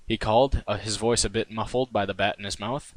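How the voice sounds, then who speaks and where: A man's voice: on the low side, steady tone, fairly quick, no echo. A man reading his own first-person fan fiction in a wry, conversational way. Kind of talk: first-person story narration.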